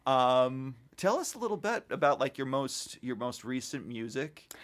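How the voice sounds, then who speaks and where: A man hosting a casual music interview, rambling warmly with lots of fillers. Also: mid-range voice, very animated, moderate pace. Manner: rambling and enthusiastic, many fillers. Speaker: interviewer and sound engineer.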